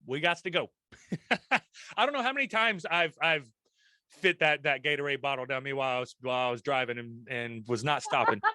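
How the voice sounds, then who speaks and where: A man's voice: mid-range voice, brisk, sharp tone, dry recording. A man hosting a casual podcast chat, full of crude jokes and frequent laughter. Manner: crude, joking banter, laughing often. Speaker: podcast host.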